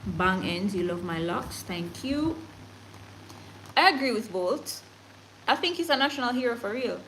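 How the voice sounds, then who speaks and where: A woman's voice: fairly high pitch, animated, a little slow, fairly bright tone, a little hiss or hum, poor audio. A woman from Jamaica with a Jamaican accent, hosting a casual livestream, chatting with viewers and speaking emphatically, often laughing and slipping into patois. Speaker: livestream news host. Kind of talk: casual livestream chat reading comments and news.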